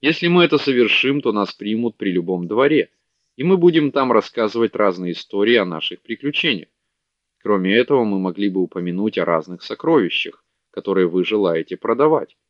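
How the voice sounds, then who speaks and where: A man's voice: fairly low pitch, lively intonation, brisk, no room sound, close to an everyday voice. A man voicing an eager, clever young male scholar making a persuasive case, on a dull, dry audiobook recording. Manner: earnest, persuasive.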